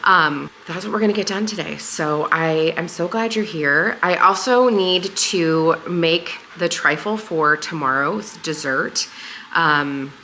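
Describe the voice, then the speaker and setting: A woman hosting a home vlog, talking casually and a little hoarse, with lots of fillers in a living room. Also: deep voice, takes it slowly, pushed and bright, slight background noise, poor audio. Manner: rambling and friendly, with many fillers. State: slightly hoarse. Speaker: home vlogger and channel host.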